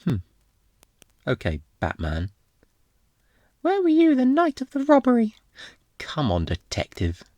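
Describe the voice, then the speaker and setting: A man playing an obnoxiously flirty, smug thief in an intimate ASMR roleplay, teasing in a playful, theatrical, drawn-out way. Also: extremely high pitch, wildly swooping pitch, mellow, no room sound, dull audio, full character voice. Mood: amused, mock-incredulous.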